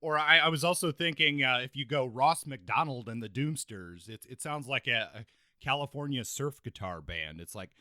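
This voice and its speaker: Male podcast co-host, mid-pitched, conversational book talk, dry recording. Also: lively intonation, moderate pace, pushed and bright, no echo.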